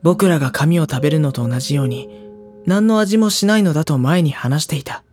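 An actor playing a gentle, anxious teenage schoolboy who narrates an audio drama with wry worry. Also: male voice, fairly high pitch, a little fast, close to an everyday voice. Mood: wry, uneasy. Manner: wry, worried inner monologue. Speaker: narrator and lead character.

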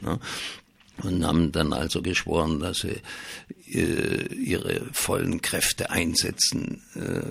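Male radio host, deep and husky, heavily creaky, delivering dry ironic political chat. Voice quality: gravelly, husky. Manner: wry, ironic. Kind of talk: casual political commentary.

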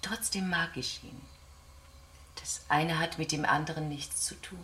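An ambitious young scientist bares her thoughts in a science fiction radio play. Her voice is low and husky, and she answers candidly, with halting introspection. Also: steady tone, bright, forceful tone, heavily acted. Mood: candid, reflective. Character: ambitious young woman astronomer under interrogation, candid.

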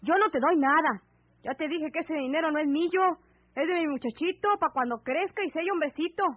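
An actress playing a stubborn, distrustful country wife with a rural northern Mexican accent and firm, scornful retorts, in an old radio serial. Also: female voice, sits high, fairly quick, dry recording, natural voice. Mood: stubborn, wary. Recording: dull vintage AM radio drama recording.

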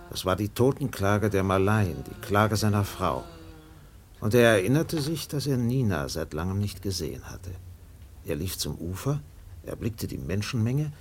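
Narrator for radio play: man, low voice, measured literary delivery over music. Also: a little hiss or hum. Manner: measured, storytelling.

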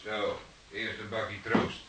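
A man playing an unhurried, wry, stubborn veteran police detective in a radio drama, speaking in a deliberate, dry, fatherly way. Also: lowish voice, flat delivery. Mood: calm, wry. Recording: noisy archive radio drama recording.

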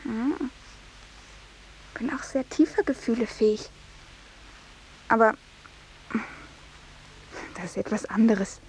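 A woman's voice: on the high side, slow, long pauses, a little mellow, slight background noise, rough recording. An actress playing a dreamy, naive and coquettish young general's daughter in a 1950s radio play, with a gushing girlish manner. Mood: proud, coy.

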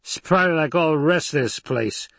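A man doing a slow, surly drunken swordsman. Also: on the high side, fairly bright tone, no echo, voice pushed up. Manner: slurred, drawn-out, mumbling. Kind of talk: audiobook character acting.

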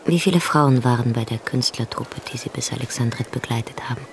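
An actor playing an intimate companion in a radio drama, hushed and gently probing. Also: male voice, mid-range voice, fairly quick, lightly acted. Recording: clear background ambience noise.

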